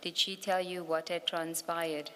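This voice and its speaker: A woman leading evidence at a formal hearing, asking polite, measured questions. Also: fairly low pitch, little pitch movement, pushed and bright. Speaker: evidence leader at a commission hearing. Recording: reverberant hearing room, faint noise.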